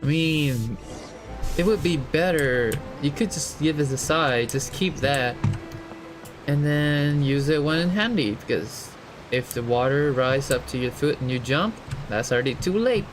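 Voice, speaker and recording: A man's voice: on the high side, expressive, measured pace. A man giving casual, chatty, slangy live commentary as a game streamer, in a laid-back way. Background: game music, typing.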